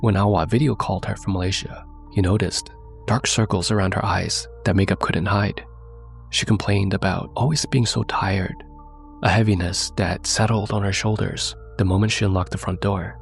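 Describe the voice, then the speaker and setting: A man with a low, gravelly voice tells a scary story as host of his own show, his nose stuffed up from a cold. He speaks in a measured, suspenseful way and talks straight to his listeners, with soft music underneath. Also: fairly even intonation. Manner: measured, suspenseful delivery, addressing viewers directly. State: congested from a cold. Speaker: narrator and host. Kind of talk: scripted horror storytelling.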